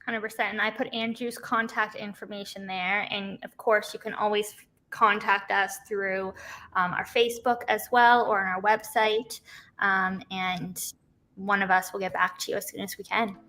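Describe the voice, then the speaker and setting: A woman co-hosting a live stream, who passes the floor quickly and in a friendly way. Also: medium pitch, flat delivery, sharp tone. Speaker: co-host. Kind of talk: casual livestream chat.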